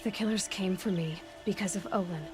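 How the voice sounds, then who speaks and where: A woman's voice: medium pitch, fairly quick, darkish tone, natural voice. A woman playing a determined, blunt young outlander huntress in a video game, speaking curtly and directly. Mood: insistent. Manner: curt, direct. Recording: music behind the voice.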